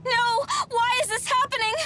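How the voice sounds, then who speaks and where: A woman's voice: very high voice, steady tone, normal speed. An actress playing a haughty, duty-bound female spirit in a video game, speaking formally and imperiously with precise diction. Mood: desperate. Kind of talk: dramatic video game voice acting.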